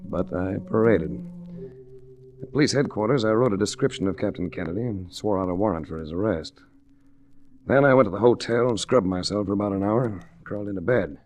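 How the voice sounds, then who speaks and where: A man's voice: on the low side, fairly quick, a little mellow, close to an everyday voice. An actor playing a wry, hard-boiled adventurer-investigator who narrates his own case in a 1940s radio drama. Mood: wry narration. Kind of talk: 1940s radio detective acting. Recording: restored 1940s radio recording.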